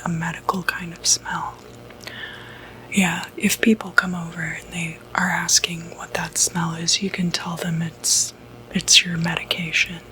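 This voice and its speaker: A woman whispering softly and breathily in an unhurried, hesitant ASMR roleplay as a doctor. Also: fairly low pitch, a little hiss or hum, low-quality recording. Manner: soft-spoken, unhurried, hesitant pauses. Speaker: ASMR performer role-playing a doctor.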